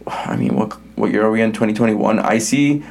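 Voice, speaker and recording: A young male host with a lowish voice chats casually with his guest. He grew up speaking English and Spanish together. His talk is loose and filler-heavy, with plenty of "like" and quick restarts. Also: gravelly, darkish tone. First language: English and Spanish both. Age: young adult. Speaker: podcast host, college soccer player. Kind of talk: casual interview chat.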